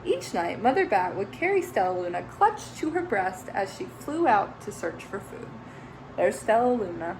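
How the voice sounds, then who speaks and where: A woman's voice: medium pitch, moderate pace, noisy background. Teacher lady reading a picture book to kids, bouncy sing-song voice, little asides. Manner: expressive, with chatty asides to young listeners. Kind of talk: reading a children's story aloud.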